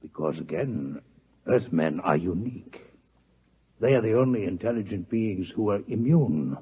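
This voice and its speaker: An actor playing a courteous, scholarly alien doctor in a 1950s radio drama, speaking formally, precisely and gravely in a husky voice. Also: male voice, fairly low pitch. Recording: muffled vintage 1950s radio recording.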